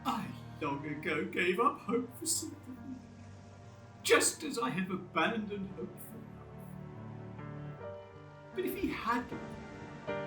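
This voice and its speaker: A high, bright-voiced monster, tormented and full of self-loathing. The speech is slow, formal and theatrical, heavy with anguish and loneliness, in a roomy radio play sound. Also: far from the mic. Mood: desolate, lonely.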